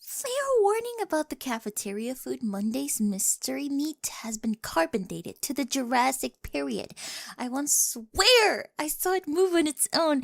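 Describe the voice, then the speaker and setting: A woman acts out an oddball high school girl with a crush, in a high voice with a creaky edge. She rambles eagerly and awkwardly, dipping into breathy whispers. It is an intimate ASMR roleplay, recorded close and very dry. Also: no room sound. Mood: excited, playful. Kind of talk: scripted ASMR roleplay, addressed to the listener. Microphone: close, dry studio-style microphone.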